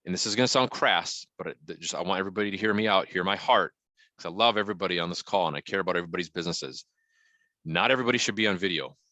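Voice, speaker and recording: Middle-aged male consultant: booming, deep-leaning voice, dry close sound, emphatic and conversational. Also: rapid-fire, pushed and bright, no room sound. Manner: emphatic, punchy, frequently checking in with "right?". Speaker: guest marketing consultant. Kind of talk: conversational business advice to an online group.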